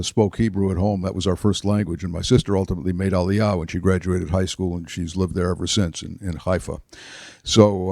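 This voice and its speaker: A man in his seventies, a retired politician and author, tells stories about his life to an audience in a chapel, halting with fillers and restarts. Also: low voice, creaky, darkish tone, no echo, clean studio sound. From United States. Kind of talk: relaxed storytelling talk.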